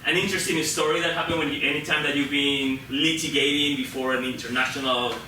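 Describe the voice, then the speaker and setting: Echoey, higher-voiced man hosting a live interview. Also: steady tone. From Peru. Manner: halting, informal, with repeated words. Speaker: host interviewing a guest on stage. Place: live show venue with an audience of about fifty. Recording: roomy echo, distant mic, loud background noise.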